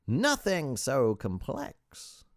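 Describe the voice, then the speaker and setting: A man voicing a mischievous, falsely modest young hermit sage in an audiobook, with a theatrical, gleeful sales-pitch manner. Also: big pitch swings, measured pace, darkish tone, no echo, clean studio sound.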